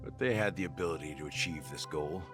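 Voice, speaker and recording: A wry, world-weary rogue in a video game. His voice sits deep and drawls reflectively. Music is clearly heard behind him. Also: fast. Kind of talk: video game drama voice acting.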